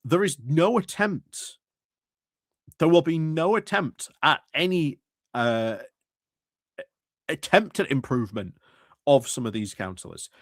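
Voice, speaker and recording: A man's voice: fairly high pitch, lively intonation, unhurried, long pauses, no echo. Hesitant man commenting, dry close mic. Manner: hesitant, many fillers and restarts. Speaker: political commentary streamer. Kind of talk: casual commentary over meeting clips.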